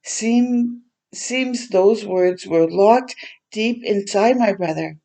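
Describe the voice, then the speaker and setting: A woman hosting a storytime for children, reading a picture book aloud in a gentle way and pausing to chat and explain simply. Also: medium pitch. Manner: gentle, simple explaining to young listeners, with pauses to comment.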